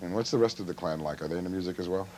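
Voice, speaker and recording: A man interviewing a band for television, asking brisk chatty questions. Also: low voice, darkish tone, a little hiss or hum, low-quality recording.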